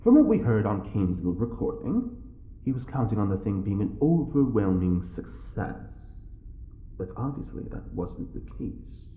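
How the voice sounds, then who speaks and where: Low, swooping, smug theatrical gentleman played by an actor. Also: big pitch swings, moderate pace, echoey room, narrow, tinny audio. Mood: sly, persuasive. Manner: arch, drawling, mock-polite, formal phrasing. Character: smug, theatrical, well-bred male prisoner with a sly wit. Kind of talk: scripted audio drama acting.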